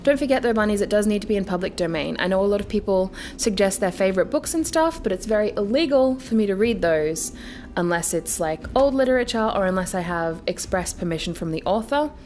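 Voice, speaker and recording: A woman's voice: mid-range voice, lively intonation, a little fast, a little mellow. A woman hosting a bedtime story series, reading aloud and chatting to her listeners. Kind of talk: dramatic poetry recital and casual chat to viewers.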